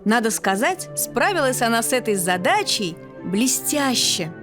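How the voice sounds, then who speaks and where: A woman reads a scripted bedtime fairy tale as the storyteller. Her voice is fairly high, expressive and articulate, with music softly behind it. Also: unhurried.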